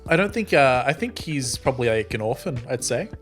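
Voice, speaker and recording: A man's voice: mid-range voice, sing-song, fairly quick, fairly bright tone. A man in casual group talk about a tabletop game, with an ordinary mid-range voice. He rambles, restarts his sentences and laughs easily, with faint music underneath. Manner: rambling, self-interrupting, laughs often.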